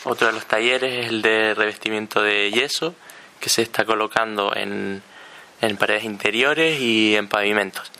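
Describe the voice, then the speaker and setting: A man's voice: lowish voice, steady tone, moderate pace, bright, forceful tone. A man, speaking matter-of-factly. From Tenerife. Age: 20s.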